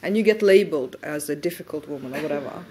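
A woman in her forties speaking as a guest on a conference stage, animated as she makes her points. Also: low-pitched, fast talker, a little hiss or hum. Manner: animated, gestures as she talks. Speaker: panel guest. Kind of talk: fireside chat on stage. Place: conference stage before an audience. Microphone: lapel mic.

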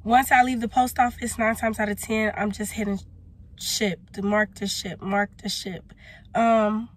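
A young woman with a hoarse voice, explaining herself in a casual vlog from her parked car. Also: medium pitch, level tone, unhurried. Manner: defensive, explaining, gestures with her hand. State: hoarse, recovering from losing her voice. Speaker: small business owner vlogger. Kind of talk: casual direct-to-camera talk. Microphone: phone camera close up.

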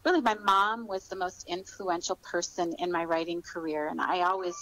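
A woman's voice: fairly low pitch, steady tone, moderate pace, a bit bright, low-quality recording. A middle-aged woman answering interview questions conversationally, in a reflective, encouraging manner. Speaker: author, podcast guest.

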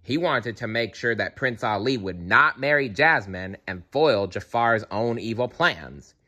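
A man reading a children's storybook aloud in an animated style, with playful asides to the listener. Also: mid-range voice, unhurried. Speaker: storybook reader.

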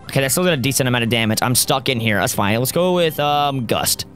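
A young man with a worn, raspy voice commenting on his own gameplay, close to a studio mic at a home setup and cheering on his team loudly. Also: medium pitch, animated, fast. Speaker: gaming YouTuber. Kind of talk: Let's Play commentary. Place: home gaming setup, indoors. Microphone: studio mic close up, seen. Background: faint game music.

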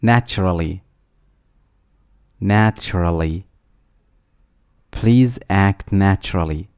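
A man with a low, mellow voice reads a vocabulary list at a very slow, deliberate pace. He says each word twice, then reads an example sentence. The sound is dry and close. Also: clear, resonant tone, no echo. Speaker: vocabulary reader.

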